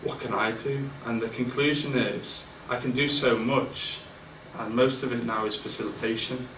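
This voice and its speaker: A man's voice: medium pitch, fairly even intonation, moderate pace, a bit bright, slight background noise. Roomy, distant man giving a candid speech. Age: 40s. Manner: candid and self-deprecating, with many fillers and restarts. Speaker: guest speaker. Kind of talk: informal business speech. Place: large event room with an audience. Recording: roomy, distant mic with faint ambience.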